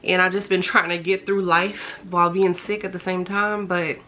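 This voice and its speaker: Congested, slightly raspy woman vlogger. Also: fairly low pitch, little pitch movement, normal speed, bright, forceful tone. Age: 30s. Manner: chatty, enthusiastic about scents. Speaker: beauty and fragrance vlogger. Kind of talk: casual product review to camera. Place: small bedroom, indoors. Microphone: compact camera's built-in mic at close range.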